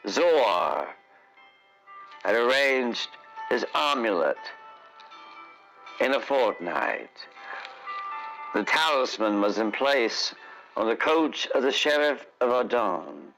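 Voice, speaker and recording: A man narrating a scripted fantasy tale as a radio drama voice actor, with a solemn, theatrical, deliberate delivery. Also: mid-range voice, animated, vocal fry, fairly bright tone, soft background music, rough recording.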